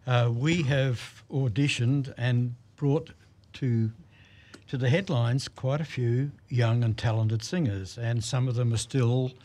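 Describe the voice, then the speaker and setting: A man's voice: mid-range voice, steady tone, slow pace, darkish tone. A man speaking for a choir as a radio guest, hesitant and full of ums and restarts. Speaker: choir spokesman.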